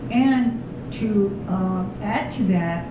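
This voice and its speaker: Slow-talking woman in a very echoey room. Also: lo-fi sound. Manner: halting, thoughtful, many fillers. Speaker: podcast host, clinician. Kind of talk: interview conversation. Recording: very echoey room, distant mic, rumble.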